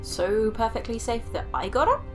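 A woman's voice: on the high side, very animated, moderate pace, soft background music. Woman voicing a stammering, flustered scientist. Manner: nervous, stammering, sarcastic. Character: flustered young woman scientist stuck on a strange train. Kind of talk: audio drama acting.

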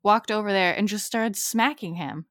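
A woman's voice: mid-range voice, normal speed, fairly bright tone, dry recording, clean studio sound. A young woman co-hosting a podcast in casual, upbeat chat. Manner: chatty, upbeat, laughs easily. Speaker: podcast co-host.

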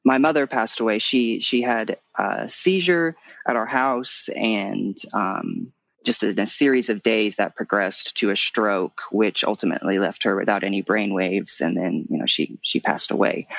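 A man in his thirties from Missouri with a light, higher voice, talking candidly as an interview guest and often swooping up in pitch when animated. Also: measured pace, gravelly, no room sound. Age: 30s. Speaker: podcast guest, memoir author.

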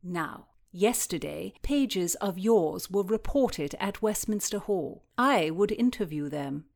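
A woman's voice: mid-range voice, expressive, takes it slowly, creaky, darkish tone, heavily acted. Woman playing firm, razor-witted Tudor lady. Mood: conciliatory. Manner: firm, formal, commanding. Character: resolute, sharp-witted noblewoman and peacemaker. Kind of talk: dramatized historical fiction reading.

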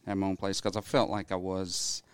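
A man about fifty, a dentist guest, answering in a halting, earnest way with many fillers. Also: low-pitched, little pitch movement, clear, resonant tone, dry recording. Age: 50s. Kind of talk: casual interview answers.

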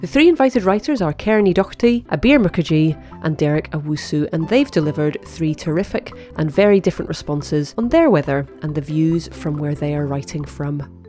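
Woman, low-pitched voice, scripted podcast introduction, presenter, faint music. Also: expressive, fairly quick, mellow.

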